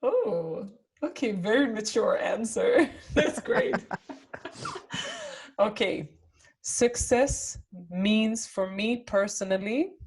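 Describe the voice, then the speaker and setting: A woman's voice: mid-range voice, lively intonation, takes it slowly, a little mellow. A woman in her twenties hosting an interview, asking questions in a friendly, giggly way. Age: 20s.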